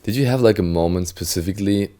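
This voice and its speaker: A man's voice: deep voice, quick pace, warm tone. A man hosting a testimonial interview over a video call, encouraging and enthusiastic with short affirmations. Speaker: interview host for a coaching company.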